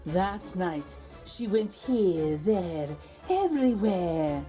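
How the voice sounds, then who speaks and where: A woman reading a children's picture book aloud as host, theatrical and deliberate, with dramatic pauses. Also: fairly low pitch, very animated, over music. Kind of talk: expressive storybook narration.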